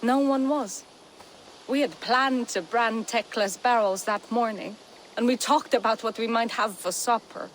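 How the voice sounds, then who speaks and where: An actress playing a gentle, doubtful young Viking apprentice in a video game cutscene, with a soft, halting, earnest delivery. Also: female voice, fairly high pitch, a little hiss or hum, lightly acted, rough recording. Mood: grieving, unsure.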